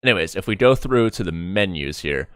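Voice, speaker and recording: Male streamer, deep and dry-miked, casual reviewer commentary that stumbles and circles back over words. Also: expressive, smooth, dry recording. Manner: halting, repeats words mid-thought. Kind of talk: casual live reaction commentary.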